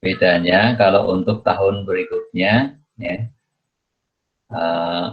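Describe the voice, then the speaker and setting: Male lecturer, deep voice, halting and repetitive explainer delivery, dull lo-fi recording. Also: steady tone. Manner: halting, repetitive, punctuates phrases with a checking tag. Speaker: accounting lecturer. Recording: dull, muffled lo-fi audio.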